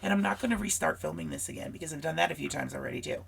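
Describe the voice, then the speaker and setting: A woman hosting a scrapbooking vlog, hoarse from a cold and losing her voice, chatty and rambling as she talks straight to her viewers. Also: deep voice, expressive, racing, slight background noise. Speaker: scrapbooking channel host. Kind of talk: casual craft supply walkthrough.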